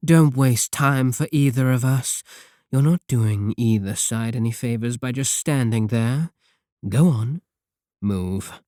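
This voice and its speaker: Man voicing a sardonic evil-organization villain, mellow dark tone, close dry sound, unhurried, condescending, softly menacing, ASMR roleplay. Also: medium pitch, no room sound, close to an everyday voice. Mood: coaxing, controlling. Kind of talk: scripted ASMR audio roleplay.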